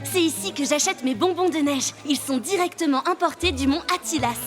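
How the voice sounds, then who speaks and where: A woman's voice: high-pitched, brisk, over loud music, lightly acted. A woman voicing a friendly, knowledgeable young girl who guides visitors, cheerful and explanatory, in a children's cartoon. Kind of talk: animated cartoon dub.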